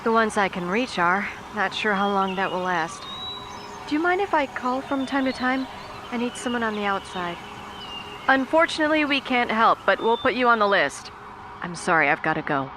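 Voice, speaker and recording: Woman acting emergency dispatcher, anxious, polite, radio drama, clear background noise. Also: medium pitch, expressive, normal speed, natural voice. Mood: worried. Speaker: 911 operator. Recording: clearly noisy background ambience.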